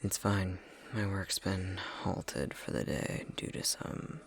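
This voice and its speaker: A man's voice: sits low, takes it slowly, creaky, exaggerated voice. A man playing a prickly, grudgingly caring elf academic in an ASMR roleplay, with a curt, haughty, exasperated delivery that often drops into whispers. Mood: dry, grudgingly kind.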